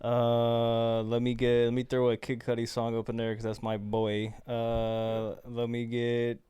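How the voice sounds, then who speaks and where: Smooth-voiced young man, teasing sidekick. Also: mid-range voice, monotone, warm tone, dry recording. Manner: quick joking interjections, teasing. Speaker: podcast co-host. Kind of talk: casual podcast banter.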